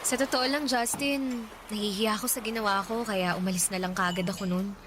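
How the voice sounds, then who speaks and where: A woman playing a soft-spoken young woman. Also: mid-range voice, fairly even intonation, a little fast, close to an everyday voice. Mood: apologetic. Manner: soft, apologetic, gentle. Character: young woman torn between two men. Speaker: lead character in radio drama. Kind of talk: radio drama acting. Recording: audible background hiss.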